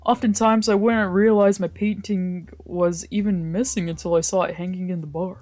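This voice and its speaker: A woman's voice: lowish voice, steady tone, normal speed, a little mellow, dry recording, faint music behind. A woman reading scripted first-person visual novel narration aloud.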